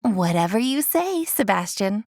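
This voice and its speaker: A woman's voice: mid-range voice, sing-song, a little slow, a little mellow, no echo, studio quality. A woman voicing an eager, impulsive young mermaid princess in a storybook, youthful and dramatic. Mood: agreeable.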